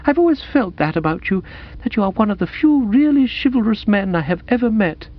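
A man voicing a dreamy, sentimental young woman with a gushing, halting, earnest delivery in a dramatised audiobook. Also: sits high, expressive, lo-fi sound, pitched above the performer's own voice. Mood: soulful, gushing.

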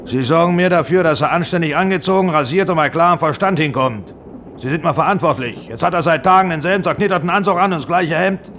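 Ein Schauspieler spielt in einem deutschen Hörspiel einen herrischen, ungeduldigen Filmproduzenten, knapp und umgangssprachlich. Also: male voice, fairly high pitch, fast talker, fairly bright tone, slight background noise. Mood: stern, threatening. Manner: curt, colloquial, commanding. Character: bossy, impatient, blunt Hollywood film producer. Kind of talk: German radio drama acting.

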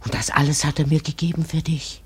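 Very husky, greedy scheming mother. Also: low-pitched, fairly even intonation, quick pace, darkish tone. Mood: greedy gloating. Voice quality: husky, rasping, breathy. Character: greedy, scheming Malay mother gloating over money. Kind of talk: radio drama acting.

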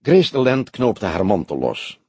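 A man narrating a detective novel as an audiobook in an expressive, scripted reading style. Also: on the low side, a little slow, husky, dry recording.

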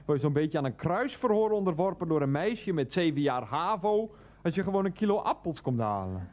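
Casting: irritable everyman grumbler for radio comedy, blunt, with a highly animated pitch range. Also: fairly quick. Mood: irritated. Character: exasperated, grumbling male customer, easily worked up. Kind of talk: comic radio play acting.

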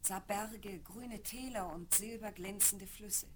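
A woman's voice: mid-range voice, takes it slowly, background noise. A woman giving scripted storybook narration for a children's fairy-tale audio play. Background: music.

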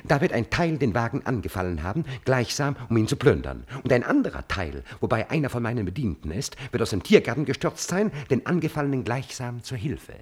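An actor playing a cunning, servile and coldly ambitious chamberlain in a classical drama, with an insinuating, theatrical delivery and a slightly husky voice. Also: male voice, mid-range voice, very animated, a little fast, few pauses. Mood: excited, boastful. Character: scheming male court chamberlain, servile, cunning, cold-bloodedly ambitious. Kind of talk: German classical stage drama acting.

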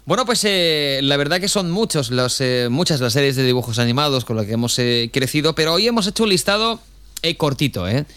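A man's voice: mid-range voice, expressive, brisk, fairly bright tone, smooth. A man with an accent from Spain hosting a casual radio chat, bantering informally and often checking for agreement. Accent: Spanish from Spain. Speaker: radio host.

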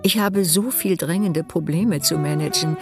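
An actress playing a dismissive, formally polite European official, reading letters aloud in a bureaucratic manner for a German radio play. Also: female voice, deep voice, moderate pace, gravelly. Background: prominent music.

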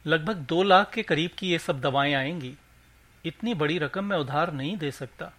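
A man voicing a businesslike male shop owner, brisk and direct, in a story reading. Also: a little high, a bit bright. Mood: firm. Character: businesslike male medical shop owner, friendly but unyielding.

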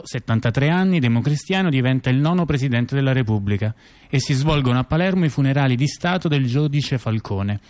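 A man playing a reflective intellectual in a radio theatre dialogue, recounting events and memories in a halting, conversational way with repeated words. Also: medium pitch, darkish tone, natural voice. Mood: matter-of-fact. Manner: halting, repeats words and restarts while recollecting. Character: reflective male intellectual recalling political events. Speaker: performer in a two-person radio theatre dialogue.

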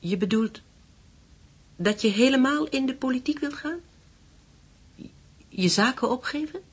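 An actress in a Dutch radio play voicing a composed, resolute widow with political convictions. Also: female voice, high-pitched, animated, measured pace, slightly rough, heavily acted. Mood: taken aback, weary.

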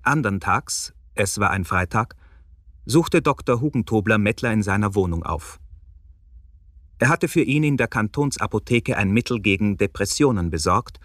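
A man's voice: on the low side, animated, moderate pace, a bit bright, no room sound. A man reading scripted narration for a radio drama as its storyteller.

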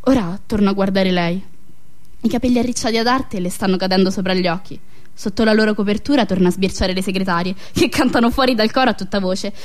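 A restless, passionate married woman, performed by an actress in a radio drama monologue. Her voice sits fairly high. She confides her inner thoughts with theatrical intensity and a wry edge. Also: a little mellow, lightly acted. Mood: observing, intrigued. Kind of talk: dramatic stream-of-consciousness monologue.

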